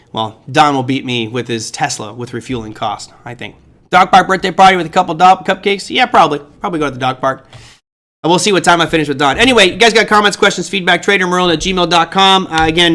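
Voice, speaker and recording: A middle-aged man hosts a live stream and chats loosely with his viewers, joking and wandering off topic with plenty of ums and uhs. His voice is bright and forceful and sits a little high for a man. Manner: chatty, jokey, rambling with many fillers. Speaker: host of a trading livestream. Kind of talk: casual livestream chat answering viewers.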